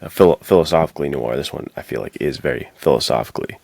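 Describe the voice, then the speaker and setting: Very deep, creaky-voiced man. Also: very fast, a little mellow, no room sound. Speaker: podcast co-host. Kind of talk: casual film analysis.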